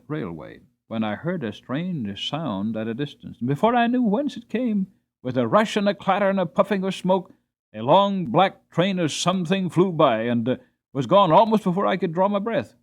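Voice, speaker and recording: Earnest, spirited male horse narrator played by a man, very animated dramatic storybook delivery, slightly muffled recording. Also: mid-range voice. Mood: astonished, fearful.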